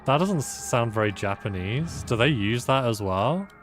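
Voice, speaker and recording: A man's voice: medium pitch, animated, normal speed, faint music behind. A man streaming a game, thinking aloud about a puzzle in a casual, sleepy, self-deprecating way. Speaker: gameplay streamer. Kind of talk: casual let's-play commentary.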